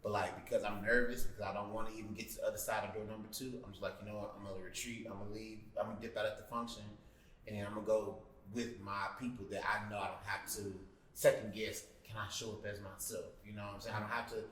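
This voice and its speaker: A man sharing personal reflections in a group discussion, thoughtful and measured, with pauses. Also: on the low side, level tone, a bit bright. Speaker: panelist. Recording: echoey room, distant mic, faint noise.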